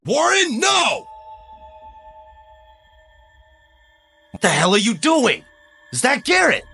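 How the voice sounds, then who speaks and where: A frightened, determined hero in an audio drama. His voice is high, bright and strained with emotion, moving across a wide range in an urgent plea. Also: huge pitch swings, moderate pace, creaky, husky, faint music behind, exaggerated voice. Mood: panicked shouting. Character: frightened but determined man confronting his possessed cousin.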